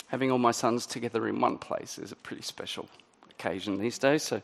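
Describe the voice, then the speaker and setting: A middle-aged man preaching a sermon in a conversational way, his voice a little croaky. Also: medium pitch, fairly quick. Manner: conversational, many ums and restarts. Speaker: pastor.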